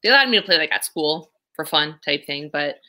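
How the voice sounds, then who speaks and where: A young woman from Canada answers interview questions over a video call. Her voice sits low yet rings bright and forward, and she talks in a chatty, easy way, laughing now and then. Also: animated, fairly quick. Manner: chatty, laughs between phrases. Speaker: guest, pro boxer. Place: small room indoors. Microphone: video call, laptop mic.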